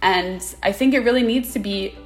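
A woman in her twenties, speaking as an interview guest in an earnest, quick way over a video call. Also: mid-range voice, soft background music. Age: 20s. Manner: earnest, fast-talking. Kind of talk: casual interview answers.

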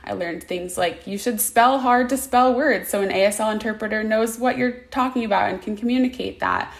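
Young woman in her twenties, earnest interview guest, talks quickly, video call sound, mid pitch. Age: 20s. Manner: earnest, fast-talking. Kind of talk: casual interview answers.

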